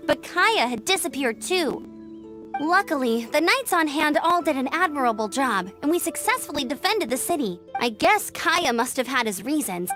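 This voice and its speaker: An actress playing a cheerful, chatty young female knight in a game. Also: high voice. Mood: musing. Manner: bubbly, chatty.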